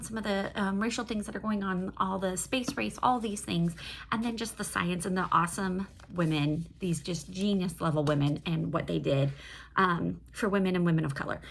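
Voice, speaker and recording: A woman's voice: fairly low pitch, a little hiss or hum. A woman chatting casually about books in an enthusiastic, digressive way, like a host reviewing her reading. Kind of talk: casual book review chat.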